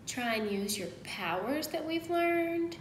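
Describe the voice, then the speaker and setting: Woman, 30s, primary teacher reading to young kids; high-pitched, expressive delivery, slightly noisy roomy sound. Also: takes it slowly. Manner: expressive, instructive, prompting listeners with questions. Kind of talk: picture-book read-aloud for young children. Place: indoors in a room at home. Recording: roomy echo, faint background noise.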